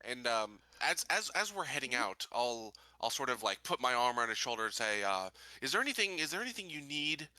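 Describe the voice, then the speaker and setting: Male roleplayer, notably forward bright tone, courteous halting delivery, casual in-game chat, close dry recording. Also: mid-range voice, big pitch swings, no room sound. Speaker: tabletop game player. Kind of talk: casual in-character improvised play.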